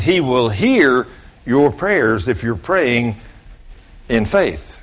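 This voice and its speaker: Folksy older preacher man. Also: mid-range voice. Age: late 60s. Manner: folksy, plain-spoken, addresses listeners directly. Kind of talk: informal storytelling sermon.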